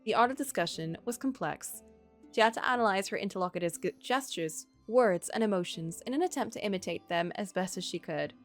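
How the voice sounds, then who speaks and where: A woman game streamer reading a visual novel aloud in an expressive storytelling way, with casual asides. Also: medium pitch, fairly quick, soft background music.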